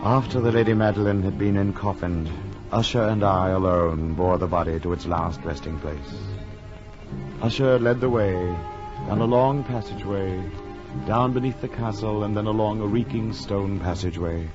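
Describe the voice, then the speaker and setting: A male actor as an earnest gentleman from a 1940s radio horror play. His low voice is grave, theatrical and uneasy. The old recording is muffled, with music clearly behind him. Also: fairly even intonation, normal speed, close to an everyday voice. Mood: grave, foreboding. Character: earnest, uneasy gentleman friend narrating a gothic horror tale. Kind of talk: 1940s radio drama narration and acting.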